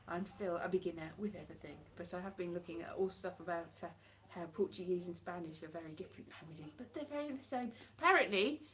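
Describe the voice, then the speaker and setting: Woman, 30s, casual live-stream chatter into a close webcam, self-mocking and sarcastic, slightly high voice. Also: fairly bright tone. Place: home hallway by a staircase, indoors. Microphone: webcam or phone at close range.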